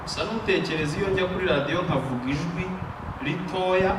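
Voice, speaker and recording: A man's voice: high-pitched, a little fast, far from the mic. A Rwandan man preaching a sermon with emphatic call-and-response, paced for an interpreter. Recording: echoey room, picked up from afar, noisy.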